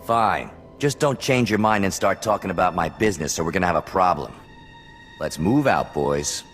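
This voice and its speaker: A menacing, crooked man in a video game. His voice is low and he lets threats hang slowly, with music faintly behind him. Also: a bit bright. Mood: veiled menace. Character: shady menacing man offering bribes. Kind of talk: video game cutscene acting.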